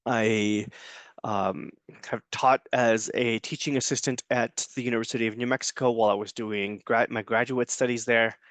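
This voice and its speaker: An adjunct professor, a man, giving a loose and hesitant spoken introduction to his course, filling pauses with ums and restarting his sentences. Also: mid-range voice, steady tone, a bit bright, no echo. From United States.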